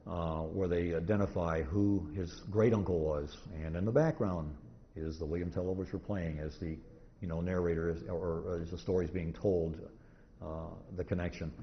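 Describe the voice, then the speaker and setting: A man giving an informal, rambling lecture to an audience, halting and restarting often as he goes. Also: deep voice, fairly even intonation. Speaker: convention presenter, radio historian. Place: indoor convention talk room. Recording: muffled, noisy live convention room recording.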